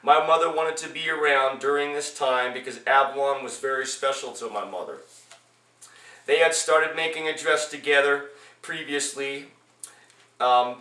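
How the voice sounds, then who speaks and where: A man reading his own personal memoir aloud as its author, in a halting way with repeated phrases. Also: medium pitch, sharp tone. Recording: echoey room, distant from mic.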